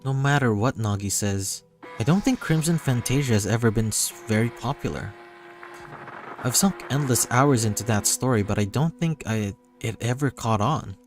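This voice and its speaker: A man's voice: mid-range voice, normal speed. A man reading a visual novel aloud as narration on a livestream, sometimes stumbling and rereading. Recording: music behind the voice. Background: music.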